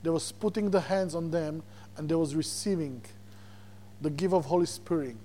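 A man from Slovakia with a Slovak accent, preaching a sermon as a guest preacher, animated and repeating words as he searches for them. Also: fairly high pitch, moderate pace, slight background noise. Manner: animated, repeats words when searching, chuckles between points.

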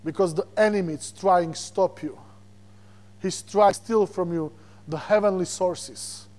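A man from Slovakia with a Slovak accent, preaching a sermon as a guest preacher, animated and repeating words as he searches for them. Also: sits high, a little slow. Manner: animated, repeats words when searching, chuckles between points.

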